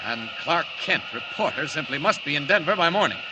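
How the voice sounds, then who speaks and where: A man performing a dashing radio-serial hero, dramatic and exclamatory. His voice is a little high and swings widely in pitch. The vintage broadcast recording is heavily noisy. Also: expressive, fairly quick, dull audio, natural voice. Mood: jaunty, determined. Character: heroic, confident male superhero posing as reporter. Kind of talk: 1940s radio drama acting.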